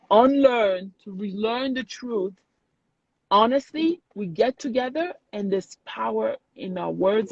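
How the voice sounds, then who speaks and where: A woman's voice: fairly high pitch, darkish tone, breathy edge, dry recording. A woman from Haiti, talking earnestly and emphatically in a casual conversation, repeating words for weight. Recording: dry, dull lo-fi sound.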